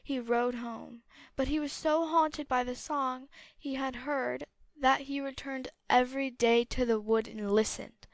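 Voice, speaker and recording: A woman narrating a fairy tale as an audiobook, with a clear storytelling delivery. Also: sits high, steady tone, measured pace, no room sound.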